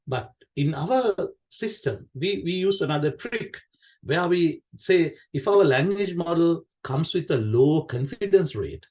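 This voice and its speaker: A man's voice: medium pitch, darkish tone. Sri Lankan man giving a tech talk. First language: Sinhala. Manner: conversational, repeats phrases, poses questions and tags them with "right?". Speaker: QA director giving a conference session. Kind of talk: informal technical presentation.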